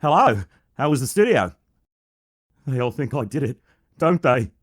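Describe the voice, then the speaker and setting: A woman's voice: rumbling low, very animated, normal speed, husky, full character voice. A woman playing an anxious, meek man with a hesitant, pleading manner in a video game fan dub. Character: anxious, meek male actor accused of murder. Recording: music behind the voice.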